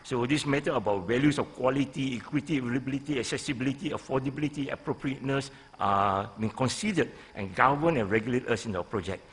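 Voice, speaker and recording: A man in his sixties with a Malaysian accent, a professor giving a deliberate, halting conference presentation into a lectern microphone, stressing key phrases. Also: medium pitch, a bit bright, breathy edge. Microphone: gooseneck lectern microphone.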